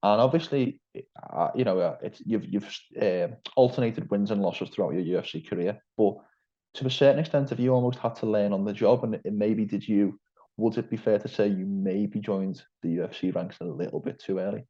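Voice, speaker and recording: Interviewer, man, Liverpool accent, low dark voice, lo-fi audio. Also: a little fast. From England. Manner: wordy, hedging questions. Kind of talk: interview over a call.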